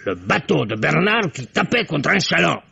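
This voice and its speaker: An actor playing a talkative middle-aged customs officer in a 1950s radio drama, excitable and rambling. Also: male voice, fairly high pitch, normal speed, gravelly, slightly rough, no echo, heavily acted. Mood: excited recounting. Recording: muffled old radio recording.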